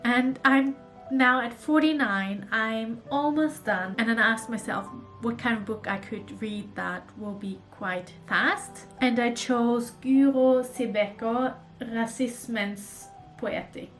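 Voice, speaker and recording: A woman from Germany with a German accent, talking earnestly and thoughtfully to camera about books in a casual vlog. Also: fairly high pitch. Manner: earnest, thoughtful, with small restarts. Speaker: book vlogger.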